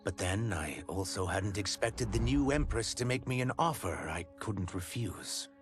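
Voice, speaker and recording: A man's voice: on the low side, lively intonation, normal speed, music in the background, lightly acted. A man playing a proud mutant reptilian exile in a video game, narrating in an earnest, dignified way. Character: proud mutant male reptilian exile turned royal emissary. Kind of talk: scripted dramatic game narration.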